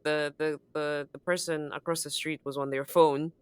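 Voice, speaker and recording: A woman's voice: deep voice, flat delivery, moderate pace, clear, resonant tone, no room sound. A woman co-hosting a podcast in casual conversation, speaking in an affirming, reflective way. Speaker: podcast co-host.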